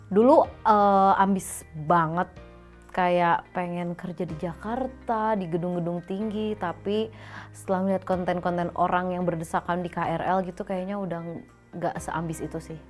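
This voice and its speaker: A woman's voice: on the low side, steady tone, moderate pace, a little mellow, soft background music. Playful young woman in an interview. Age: 20s. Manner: playful, laughs easily. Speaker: interviewee, social media officer. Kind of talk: casual interview answers.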